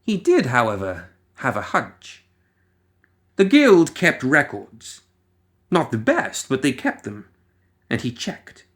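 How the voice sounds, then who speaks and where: A man reading a story as a dramatic narrator, musing theatrically with deliberate pauses and rhetorical asides. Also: mid-range voice, huge pitch swings, fairly bright tone. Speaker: audio fiction reader.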